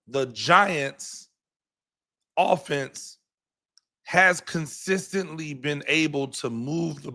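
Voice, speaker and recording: A hoarse man hosting a live postgame football reaction show, rambling and repeating words, with many ums. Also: on the high side, sharp tone. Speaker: podcast host and high school football coach.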